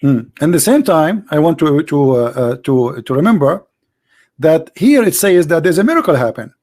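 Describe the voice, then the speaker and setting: A man debating religion, arguing forcefully and repeating himself as he presses his point. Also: fairly high pitch, big pitch swings, normal speed. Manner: forceful, argumentative, repeats words. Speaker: debate host. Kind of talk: heated debate.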